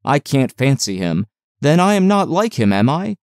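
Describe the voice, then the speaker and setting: A man's voice: mid-range voice, very animated, normal speed, a little mellow, dry recording, clean studio sound. A man voicing a frail, peevish young boy with plaintive questions in an audiobook. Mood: musing. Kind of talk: audiobook character voice.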